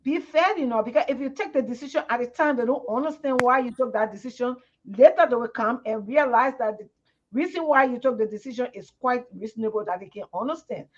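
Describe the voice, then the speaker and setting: A woman's voice: a little high, fairly quick. A woman from Liberia with a Liberian English accent, a panelist in a live TV discussion, speaking earnestly and often repeating words as she searches for them. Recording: muffled lo-fi broadcast audio.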